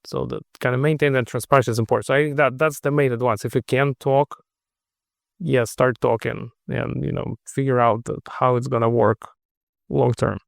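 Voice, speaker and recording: Russian guy talking slow and careful, bit of gravel in his voice, dry close sound. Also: mid-range voice, fairly even intonation, dry recording. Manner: measured, thoughtful, with frequent restarts. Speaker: podcast guest. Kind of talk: casual interview conversation.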